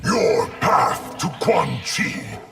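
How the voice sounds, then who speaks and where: An actor voicing a menacing collective of many souls in a fighting game, solemn, with a raspy, breathy growl. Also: male voice, a little high, lively intonation, extremely slow, far from the mic, loud music behind the voice, exaggerated voice. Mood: grave. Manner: solemn, speaks as "we". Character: menacing hive of many souls speaking as one being. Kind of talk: video game fighter dialogue.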